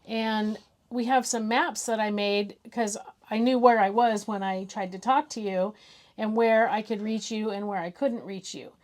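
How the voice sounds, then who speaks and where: A woman's voice: medium pitch, steady tone, measured pace, darkish tone. An American woman co-hosting a casual gadget review in a chatty, conversational way.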